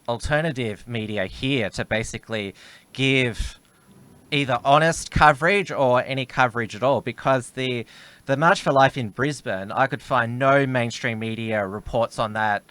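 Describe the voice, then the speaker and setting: A man co-hosting a political talk stream, getting loud and emphatic when indignant. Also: medium pitch, moderate pace. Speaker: co-host of a political livestream.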